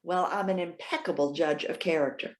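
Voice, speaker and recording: A woman's voice: on the low side, brisk, lightly acted. A woman acting a proud, independent elderly woman in readers theater, articulate, sarcastic and defensive. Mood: defiant.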